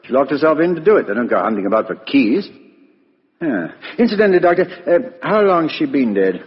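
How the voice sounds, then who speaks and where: An actor playing a blunt, teasing British police inspector in a 1950s radio play, informal and matter-of-fact. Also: male voice, on the high side, very animated, brisk. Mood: brisk, businesslike. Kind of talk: 1950s radio drama acting. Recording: muffled, echoey 1950s radio recording.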